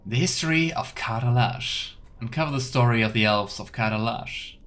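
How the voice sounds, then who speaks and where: A man's voice: medium pitch, normal speed, fairly bright tone. A man giving casual, musing let's play commentary with wry teasing asides. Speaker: game streamer.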